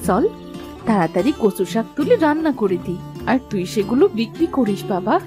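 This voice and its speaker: A woman's voice: fairly high pitch, lively intonation, normal speed, over music, lightly acted. A woman voicing an affectionate mother of a village boy in a cartoon tale. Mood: encouraging.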